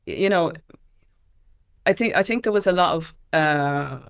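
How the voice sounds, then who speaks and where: A woman's voice: lowish voice, expressive, moderate pace, smooth. An Irish woman speaking as an activist guest in a candid interview, with an emphatic, impassioned way of talking.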